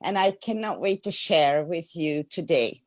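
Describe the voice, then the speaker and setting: A woman's voice: lowish voice, lively intonation, measured pace, dry recording. A middle-aged woman from Hungary, a guest speaker telling her personal story in an earnest way on a talk show.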